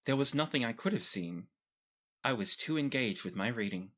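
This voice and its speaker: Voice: medium pitch, lively intonation, normal speed, a bit bright. A game master voicing a polite, bookish female attendant in a formal, deferential manner. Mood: discreet, composed.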